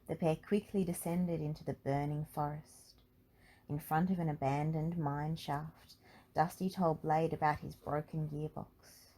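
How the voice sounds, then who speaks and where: A woman's voice: low-pitched, a little mellow, low-quality recording. A woman reading a children's storybook aloud as narrator. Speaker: storybook reader.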